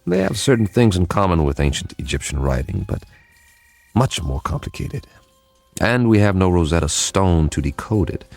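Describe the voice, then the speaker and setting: An actor playing a weary but determined government codebreaker in a radio drama, with an emphatic, wry manner. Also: male voice, sits low, sing-song, moderate pace, vocal fry, darkish tone, exaggerated voice. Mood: weary, exasperated.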